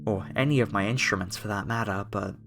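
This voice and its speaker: A man's voice: on the low side, a little fast, vocal fry. A man playing a polite, apologetic masked cultist, a former music director, in a horror ASMR roleplay addressed to the listener. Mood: genteel, wistful.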